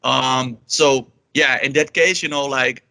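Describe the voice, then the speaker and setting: A man's voice: mid-range voice, fairly even intonation, slow, vocal fry, pushed and bright, dry recording. A young Dutch man with a Dutch accent, answering interview questions in a rambling, casual way, full of "you know" and "like". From Netherlands. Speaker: guest, language learner.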